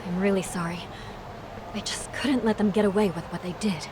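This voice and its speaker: A woman's voice: medium pitch, fairly even intonation, brisk, background noise, lightly acted. Woman playing a headstrong, tough young hostess. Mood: softened. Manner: assertive, blunt, self-assured. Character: headstrong, tough young female hostess protecting her sister. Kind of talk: dramatic video game cutscene acting.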